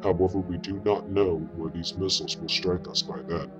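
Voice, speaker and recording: A man's voice: low voice, level tone, muffled recording, lightly acted. A man voicing a fleet commodore who gives a grave emergency address to a nation under nuclear attack. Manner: grave, solemn, measured. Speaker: wartime leader giving an emergency address. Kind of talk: emergency broadcast speech. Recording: speech over loud music.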